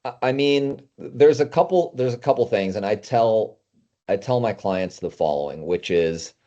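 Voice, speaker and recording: Low, mellow-voiced man, casual guest. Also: expressive, normal speed. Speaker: guest consultant. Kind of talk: casual interview talk. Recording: dull, band-limited audio.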